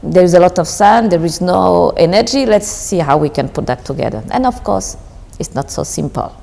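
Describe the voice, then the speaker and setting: A woman in her forties, a company CEO, answering interview questions thoughtfully, with pauses and restarts. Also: lowish voice, animated, creaky, dark tone, big-room sound. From Belgium, Rwanda and DR Congo. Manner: thoughtful, halting, with frequent restarts and uh's. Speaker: CEO of a solar company, guest.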